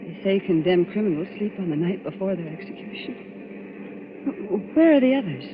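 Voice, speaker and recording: An actress playing an anxious, quick-thinking young airline passenger in a 1940s radio drama. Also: female voice, mid-range voice, animated, close to an everyday voice. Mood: drowsy, uneasy. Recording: muffled, noisy old radio recording.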